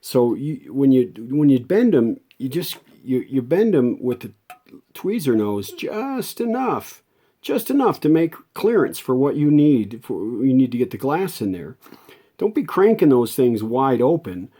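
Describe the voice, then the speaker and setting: A man with a mellow, relaxed voice at an average pitch talks through a hands-on repair. He explains each step in a folksy, unscripted way, as a restorer showing his work. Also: measured pace. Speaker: radio restorer giving a repair video.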